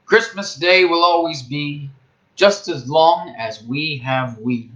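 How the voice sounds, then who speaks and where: A man reading a rhyming children's storybook aloud as a storyteller, with theatrical, emphatic and measured phrasing. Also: a little high, a bit bright, low-quality recording.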